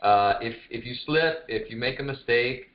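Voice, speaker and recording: A man's voice: medium pitch, normal speed. A man speaking as a fitness coach hosting a group call, chatting casually in an informal, encouraging way over lo-fi call audio. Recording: dull, lo-fi conference call audio. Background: no music, voice on a group phone call.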